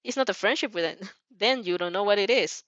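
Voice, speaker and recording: A woman narrates a story in an informal, giggly way, prone to stepping out of it with comments. Her medium-pitched voice is recorded dry and slightly dull. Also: fairly quick, fairly bright tone, dry recording. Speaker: fan fiction reader and dubber.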